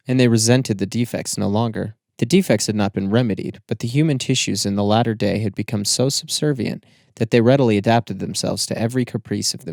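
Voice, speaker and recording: A man reading scripted literary narration for an audiobook in a measured, formal storytelling manner. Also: on the low side, vocal fry, a little mellow, no room sound, clean studio sound.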